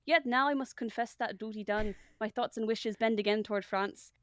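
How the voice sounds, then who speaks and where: महिला की आवाज़ में विनम्र युवा दरबारी लेयर्टीज़. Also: female voice, a little high, fairly quick, fairly bright tone, dry recording, close to an everyday voice. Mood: deferential. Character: deferential young nobleman Laertes begging leave of king. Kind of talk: comic Shakespeare read-aloud.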